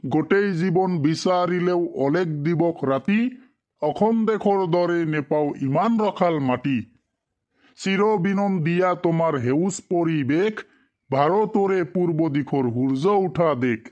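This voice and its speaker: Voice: medium pitch, a little slow, a little mellow, dull audio, natural voice. A performer voicing a strict male village schoolteacher in a cartoon, instructing pupils in colloquial speech. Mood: reciting. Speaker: teacher. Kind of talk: cartoon classroom lesson, recitation.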